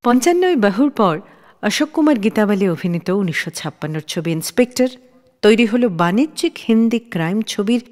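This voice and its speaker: A woman's voice: medium pitch, animated, fairly quick, darkish tone. A woman telling a scripted story as a radio show host. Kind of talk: scripted radio storytelling narration about film history. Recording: long reverb on the voice.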